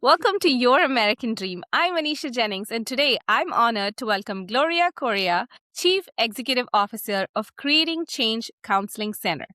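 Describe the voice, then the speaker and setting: Podcast host, female, from India. Higher voice, close dry sound, introducing and interviewing. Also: pushed and bright, no echo.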